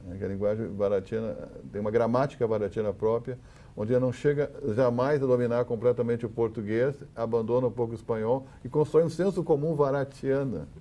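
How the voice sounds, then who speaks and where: Mellow, halting Brazilian man. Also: mid-range voice. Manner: halting, repeats words while explaining. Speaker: panel guest, academic commentator. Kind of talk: intellectual panel discussion.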